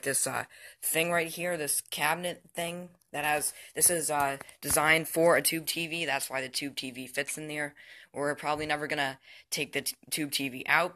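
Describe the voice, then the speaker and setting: A man's voice: a little high, pushed and bright. A young male, teenage or barely adult, giving a casual, unscripted show-and-tell in a hesitant, rambling way that often trails off. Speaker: amateur vlogger. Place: indoors at home, by the TV.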